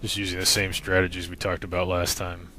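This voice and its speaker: A man's voice: sits low, completely flat delivery, a little fast, pushed and bright. A man giving casual, laid-back instructional commentary as a game walkthrough narrator, explaining matter-of-factly. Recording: noisy recording with game sound behind. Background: video game sound.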